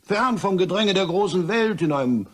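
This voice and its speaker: A man acting in a German crime radio play as an evasive, rattled French gentleman, husky, stammering and hedging politely. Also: high-pitched, expressive, normal speed. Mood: nervously evasive.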